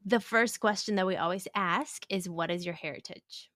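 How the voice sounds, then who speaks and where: A woman's voice: fairly low pitch, normal speed, a bit bright, no room sound, studio quality. A woman hosting a podcast, chatting casually, searching for words and laughing easily. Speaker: podcast host. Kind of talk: casual interview chat.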